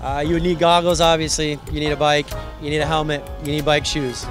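A young man from Hawaii, a triathlon association president, explaining the sport in a relaxed, friendly way at an outdoor race. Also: a little high, a little fast. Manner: relaxed, friendly, explains in loose run-on sentences. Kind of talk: outdoor event interview. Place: outdoors at a race venue under tents. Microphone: handheld mic. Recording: background music at outdoor event.

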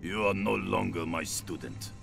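An actor playing a stern older martial arts master in a fighting game, grave and measured. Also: male voice, deep voice, creaky, over music.

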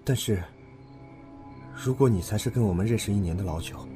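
A sober, sceptical young man acted by a man with a mellow, slightly low voice. He speaks in audio drama style over faint music. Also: a little fast, natural voice. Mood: suspicious.

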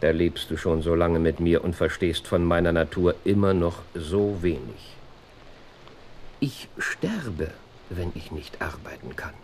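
An actor playing a sharp, brilliant, self-assured detective in a German radio play, speaking precisely and theatrically. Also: male voice, low voice, a little slow, background noise. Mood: restless, scornful.

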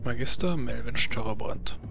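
Guy running a tabletop rpg, medium pitch, bit of music behind him. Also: moderate pace, creaky, fairly bright tone. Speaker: game master. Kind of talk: narrating a tabletop game.

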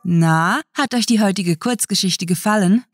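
A woman's voice: medium pitch, normal speed. A woman reading a scripted podcast outro as the presenter, addressing listeners directly. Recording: faint guitar music behind. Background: faint guitar music.

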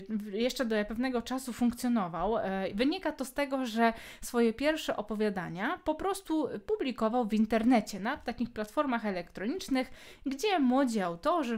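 A Polish woman hosting a book podcast, talking casually with many hesitation fillers. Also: a little high. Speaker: podcast host.

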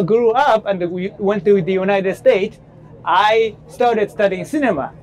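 A Japanese man in his thirties, a film director, answering interview questions outdoors by the sea in English with a Japanese accent, often pausing with fillers and restarting. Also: high voice, darkish tone, rough recording. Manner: hesitant, many fillers and restarts. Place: seaside promenade, outdoors.

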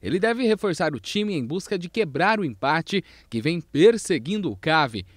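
A man's voice: fairly high pitch, normal speed, dry recording, clean studio sound. A male field reporter reading a scripted sports voice-over. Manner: animated read delivery.

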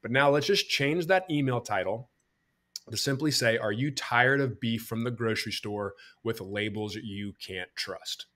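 A man in his thirties hosts a podcast from a bright room at home, heard over a video call. His voice sits at a middle pitch, and he walks listeners through ideas step by step, acting out what customers think. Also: unhurried. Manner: explains step by step, acts out customer thoughts. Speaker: podcast host. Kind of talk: teaching podcast talk.

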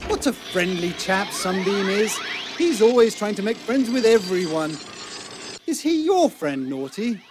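A man's voice: sits high, sing-song, unhurried. A man with a British accent playing a fussy, kindly children's storybook narrator in a game, theatrical and quaintly polite. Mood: delighted. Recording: music under the voice.

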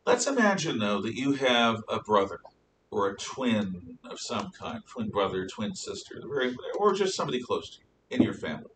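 A man's voice: very animated, moderate pace, a bit bright. An older man with an American accent from the northeastern United States, giving an emphatic classroom lecture as a history teacher. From northeastern United States, around New York City and New England. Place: classroom, indoors. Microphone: distant camera at back of room. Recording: roomy, distant microphone, noticeable hiss and rumble.

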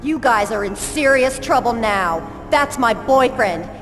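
A woman's voice: fairly high pitch, animated, measured pace, loud music behind the voice. An actress playing a defiant young woman held captive in a video game, threatening, in echoing reverb. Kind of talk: video game cutscene acting.

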